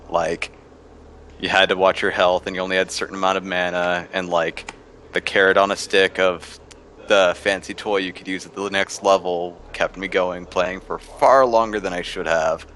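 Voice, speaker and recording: Low-voiced man rambling about games. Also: fairly bright tone, slight background noise. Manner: rambling, filler-heavy with frequent "like". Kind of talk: casual gaming chat.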